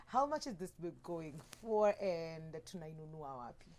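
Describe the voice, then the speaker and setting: A woman's voice: low-pitched, moderate pace, slight background noise. A Kenyan woman with a Kenyan accent hosting a TV interview, encouraging and chatty with many short replies. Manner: lively, encouraging, frequent backchannels. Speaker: TV host. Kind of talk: casual interview.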